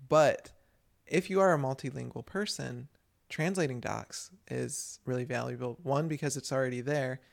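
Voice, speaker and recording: Gravelly, chatty male podcast co-host. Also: medium pitch, normal speed. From United States. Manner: chatty, rambling with many restarts. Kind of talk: casual chat.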